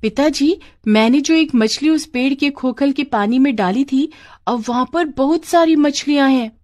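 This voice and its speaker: A woman's voice: high voice, moderate pace, a little mellow, slight background noise, voice pushed up, lightly acted. A woman voicing an innocent, eager ten-year-old village girl in a cartoon story. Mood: excited. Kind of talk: Hindi cartoon story acting.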